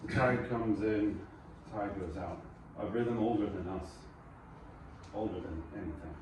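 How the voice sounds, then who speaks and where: A man's voice: fairly low pitch, measured pace, natural voice. A man playing a broken, grieving musician in a screenplay table read, brooding and subdued. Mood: haunted. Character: Beric, broken, haunted, grieving male musician. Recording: distant, echoey room with clear noise.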